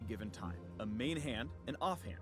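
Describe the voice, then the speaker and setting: A man's voice: medium pitch, animated, normal speed, breathy edge. A man narrating a game character guide in a scripted, promotional style. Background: music.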